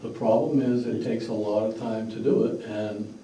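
A man's voice: lowish voice, fairly even intonation, normal speed, mellow, a little hiss or hum. A man speaking in a blunt, matter-of-fact way at a public meeting, picked up by a distant mic in an echoey room. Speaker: fundraiser organizer speaking at a town meeting. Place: mid-sized indoor meeting room. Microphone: far room microphone.

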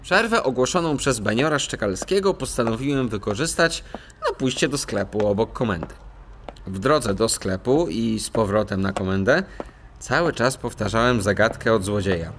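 An actor playing an excitable, polite male cat detective who tells his story with earnest expression in a children's audio drama. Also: fairly low pitch, normal speed, a little hiss or hum. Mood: earnest narrating.

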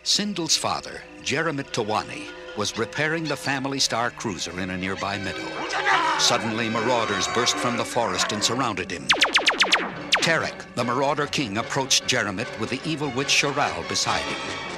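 A man narrates a children's storybook with dramatic flair. His mid-pitched voice moves animatedly over loud music. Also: animated, moderate pace.

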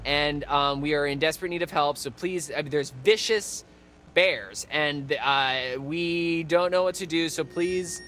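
A man's voice: a little high, a bit bright, slight background noise. An actor playing a nerdy young male camp counselor who rambles and stalls with fillers, in a video game. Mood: flustered. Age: young adult. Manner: rambling, halting with many fillers. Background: music.

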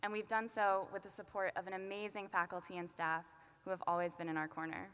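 A young woman in her twenties giving a commencement speech at a podium, earnest and measured with light self-deprecating asides. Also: medium pitch, little pitch movement, a bit bright, clean tone. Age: 20s. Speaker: student commencement speaker. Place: large arena at a podium. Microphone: podium microphone. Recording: long arena reverb.

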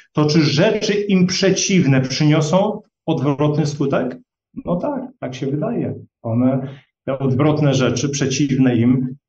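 A man giving a sermon to his congregation, in an earnest, measured way, often calling them brethren. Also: mid-range voice, warm tone. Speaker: lay preacher. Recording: dull lo-fi audio in an echoey hall, mic at a distance.

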